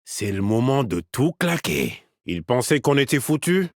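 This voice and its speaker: A cocky game fighter, gravelly, husky, lots of swing in his voice, dry studio. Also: medium pitch, big pitch swings, unhurried, no room sound. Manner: cocky, teasing, trash-talking. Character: cocky male combat agent, teasing teammates. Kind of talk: French game dub voice lines.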